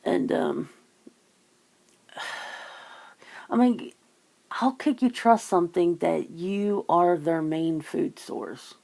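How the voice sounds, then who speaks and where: Gravelly, creaky-voiced woman. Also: on the low side, lively intonation, extremely slow, long pauses, a little mellow. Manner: rambling, teasing, swears casually. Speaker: ASMR channel host. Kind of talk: casual phone chit-chat.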